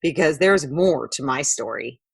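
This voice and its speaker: Lady doing a friendly little boy in a kids storybook, chatty, super bouncy pitch, bit husky. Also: animated, a little slow, dry recording, clean studio sound, heavily acted. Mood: eager, friendly. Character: chatty, good-natured young boy telling his dog story. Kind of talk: children's storybook read-aloud.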